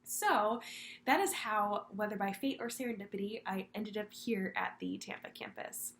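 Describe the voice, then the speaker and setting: A young woman from Florida with a congested voice from a cold, earnestly telling her story with halting, repeated words. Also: medium pitch, vocal fry, fairly bright tone. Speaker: student candidate. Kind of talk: prepared personal video statement.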